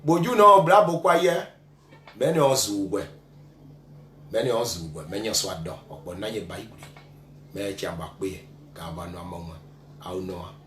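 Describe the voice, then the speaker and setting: A man's voice: low voice, expressive, normal speed, pauses often, a bit bright. A man from western Ivory Coast, a guest giving an address in short, repeated phrases. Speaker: guest on a tribute show. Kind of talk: address in a local language. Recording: echoey, noisy room.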